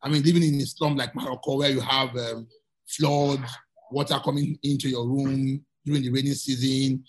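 A man's voice: mid-range voice, level tone, moderate pace, poor audio. A Nigerian man from Lagos, answering interview questions earnestly and often repeating words as he searches for them. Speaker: guest journalist.

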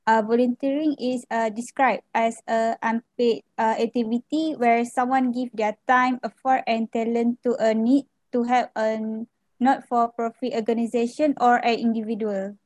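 A woman's voice: fairly high pitch, flat delivery, a little mellow, no echo. A young woman, an education student speaking carefully with small hesitations. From Malaysia. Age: young adult. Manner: careful, slightly halting, with "uh" fillers. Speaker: education student volunteer guest. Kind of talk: podcast discussion.

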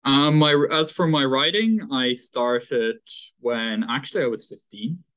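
A man's voice: mid-range voice, unhurried, a bit bright. A man in his twenties with a Dublin Irish accent, a guest author telling his story in an earnest, thoughtful way. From Ireland. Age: 20s. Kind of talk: casual interview chat.

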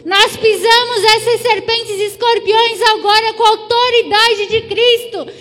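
A woman's voice: extremely high pitch, fairly even intonation, normal speed, fairly bright tone. A woman praying fervently in a charismatic style, making commanding declarations of faith. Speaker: church deaconess praying. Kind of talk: fervent charismatic healing prayer. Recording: long reverberant hall sound.

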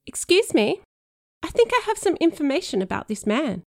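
A woman's voice: sits high, very animated, normal speed, vocal fry, a little mellow, dry recording, studio quality, voice pushed up, exaggerated voice. A woman voicing a frightened, earnest teenage boy who talks nervously.

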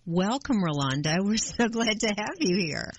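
A woman hosting a gardening radio show, asking her guest questions in a friendly, halting way with many fillers. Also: medium pitch, very animated, a little hiss or hum. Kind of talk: radio interview.

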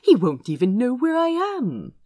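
A woman's voice: fairly high pitch, huge pitch swings, moderate pace, dark tone, no room sound, studio quality, pitched above the performer's own voice. A woman voicing a fussy, well-mannered prince who talks in a prim, nervous, wordy rush, as in an audiobook. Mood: excited, smug.

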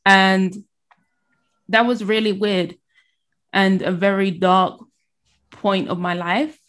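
A woman telling her faith story in casual conversation, often pausing and restarting her sentences. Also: mid-range voice, fairly even intonation, fairly bright tone. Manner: halting, restarts, laughs while telling.

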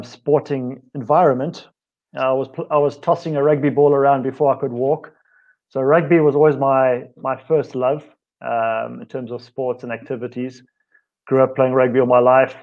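A man with a South African accent talks in an easy, conversational way, restarting phrases now and then. His voice sits at an ordinary middle pitch. The audio comes through slightly dull and lo-fi. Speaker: co-host, fitness coach. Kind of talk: casual live-stream chat.

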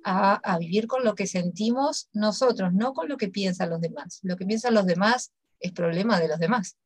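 A woman's voice: mid-range voice, normal speed, slightly rough, dry recording. Encouraging woman interviewer. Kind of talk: interview conversation.

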